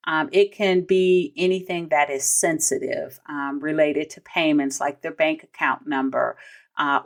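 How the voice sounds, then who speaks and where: An American woman hosting an instructional podcast, explaining in a conversational way with emphasis on key points and casual asides. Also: sits low. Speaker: podcast host, vendor payments trainer.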